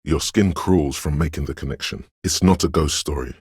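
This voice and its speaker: Video game inner voice of intuition: extremely low, husky and gravelly, brooding, dreamlike and ominous. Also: male voice, no echo, studio quality, heavily acted. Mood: mysterious. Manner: slow, hushed, ominous, dreamlike, deliberate. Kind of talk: scripted video game narration in second person.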